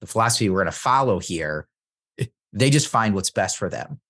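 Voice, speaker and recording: A man's voice: fairly low pitch, expressive, moderate pace, fairly bright tone. A man hosting an interview, agreeing with his guest and building on the guest's points in easy conversation.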